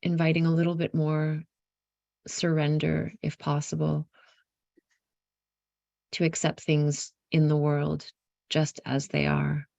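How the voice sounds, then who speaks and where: A woman leading a guided meditation, giving gentle instructions in an unhurried, softly inviting way with pauses mid-phrase. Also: low-pitched, monotone, creaky, no room sound.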